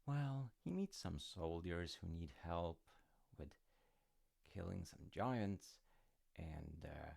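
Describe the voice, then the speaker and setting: A man's voice: sits low, expressive, slow, gravelly, darkish tone, slightly rough. A man retelling a fairy tale in a casual, rambling way with informal, wry asides. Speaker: storyteller dad character in audio roleplay.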